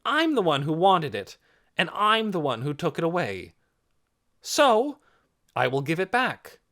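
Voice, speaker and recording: A man's voice: on the high side, huge pitch swings, a little slow, sharp tone, voice pushed up, exaggerated voice. A man voicing a brave, honest young male hero in an audiobook, speaking earnestly and firmly. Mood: firm resolve. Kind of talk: audiobook character acting.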